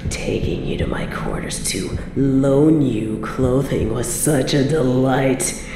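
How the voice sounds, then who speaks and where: Very deep-voiced, obsessive evil queen. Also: reverberant, full character voice. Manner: theatrical, formal, savouring, dropping into breathy whispers. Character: obsessive, possessive evil medieval queen, seductive and menacing. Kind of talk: scripted dramatic audio roleplay monologue.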